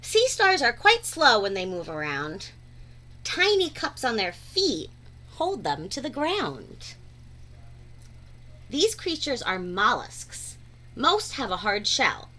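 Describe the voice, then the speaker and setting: A woman reading a children's picture book aloud in an expressive, deliberate, teacher-like way. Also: on the high side. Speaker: read-aloud storyteller.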